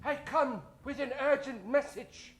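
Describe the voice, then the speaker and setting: A man playing a small, exhausted, meek messenger in an old-time radio comedy, halting and plaintive. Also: very high voice, fairly bright tone. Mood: weary, strained.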